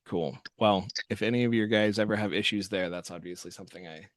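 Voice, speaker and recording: A man giving a casual software walkthrough as host, thinking aloud as he works. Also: fairly low pitch, a little fast, no room sound. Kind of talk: casual screen-share walkthrough.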